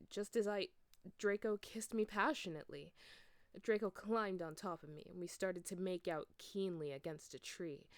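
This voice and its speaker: A woman's voice: lowish voice, dry recording. Dry, close-recorded woman reading aloud theatrically. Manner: theatrical, expressive. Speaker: amateur fanfiction reader. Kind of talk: dramatic storybook reading aloud.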